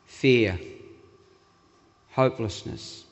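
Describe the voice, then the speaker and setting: A man's voice: medium pitch, drawn-out words, vocal fry. A man, preaching to his congregation in a joking, self-deprecating way. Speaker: pastor. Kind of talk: sermon. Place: church hall. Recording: reverberant hall.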